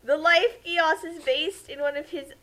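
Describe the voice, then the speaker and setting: High, bright-voiced woman playfully nitpicking. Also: fairly even intonation, a little slow. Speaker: co-commentator. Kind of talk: casual joking commentary.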